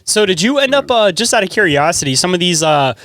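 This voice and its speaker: Male podcast host, slightly high voice, very quick, casual and roundabout, close dry sound. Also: very animated, a bit bright, no echo. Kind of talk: casual conversational interview.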